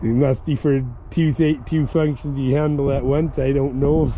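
A man's voice: medium pitch, fairly even intonation, moderate pace, warm tone, a little hiss or hum. A man giving plainspoken, folksy walkaround commentary on farm equipment, recorded outdoors on a handheld camera. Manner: folksy, plainspoken, fond of old machinery. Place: outdoors on an auction yard.

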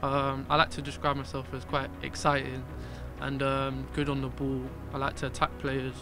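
A young man in his late teens from south London with a South London accent, a young footballer giving calm, confident interview answers outdoors in a stadium. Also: medium pitch, moderate pace. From South Norwood, south London. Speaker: academy footballer. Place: outdoors in empty stadium stands. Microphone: stand-mounted mic. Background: background music.

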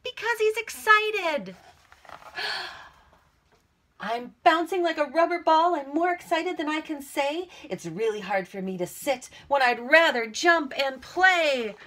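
A middle-aged woman teacher reading a picture book aloud to young children, expressively, with chatty asides. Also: sits high. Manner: expressive, acts out feelings with emphasis and whispers. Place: small cluttered room at home, indoors.

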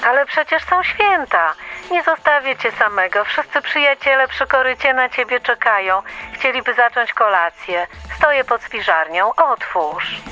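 A woman's voice: on the high side, animated, normal speed, hardly any pauses, bright, forceful tone, soft background music, poor audio. An actress playing a caring but exasperated reindeer keeper in a Christmas radio play, frank and motherly. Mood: worried, coaxing.

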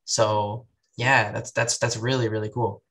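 A man's voice: fairly low pitch, flat delivery, measured pace, gravelly, fairly bright tone. A man moderating a casual online panel discussion over a headset, reflective and affirming toward the others. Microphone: headset on a video call.

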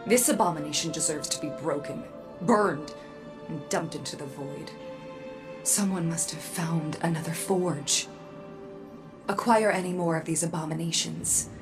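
An actress playing a proud, guarded robot armorer in a video game, speaking in a measured, cool, disdainful manner. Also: female voice, on the low side, natural voice. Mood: disgusted. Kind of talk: video game character acting. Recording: game music under the voice.

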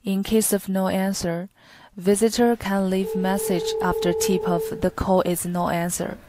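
A woman narrates a step-by-step product tutorial from a script. Her delivery is flat and even, with little movement in pitch, over clearly audible background noise. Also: unhurried.

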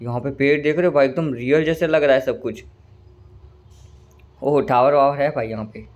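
A man with a slightly hoarse throat giving casual, chatty commentary over a train simulator game, often calling viewers "brother". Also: mid-range voice, moderate pace, a little mellow, highs cut off. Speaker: gaming channel host.

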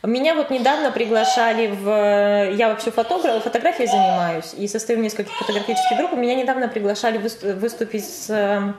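Woman in her thirties, from Kazakhstan, chatty, laughing, slightly high voice, roomy room, loud hiss. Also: brisk, few pauses. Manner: chatty, laughs often while telling stories. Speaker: interview guest. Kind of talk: casual interview chat. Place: home room at a round table.

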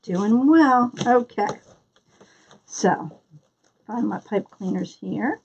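A woman's voice: mid-range voice, lively intonation, very slow, gravelly, darkish tone, slightly rough, lo-fi sound. A woman with a Philadelphia accent hosting a live craft tutorial, chatting and greeting viewers by name as she works. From Philadelphia area, US. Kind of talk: live-stream craft demonstration.

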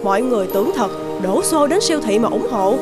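A gossiping older woman who keeps a shop in a cartoon, played by a voice actress. She gripes with open scorn in a fairly high voice, with clear music underneath. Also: lively intonation, fast, natural voice. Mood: indignant, scornful. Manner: griping, scornful. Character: indignant, gossiping older female shopkeeper. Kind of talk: animated cartoon dubbing.

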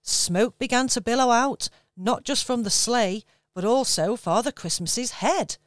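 Woman narrating a children's story, smooth voice. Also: a little high, no room sound, studio quality. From England. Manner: gentle, measured storytelling.

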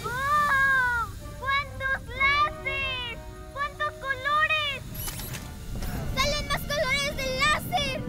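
A tiny cartoon motorcycle girl speaks in a very high, childlike voice. She is eager, naive and excitable, yet takes her words slowly. Music plays clearly behind her. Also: exaggerated voice. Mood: excited alarm. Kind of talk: dubbed children's cartoon acting.

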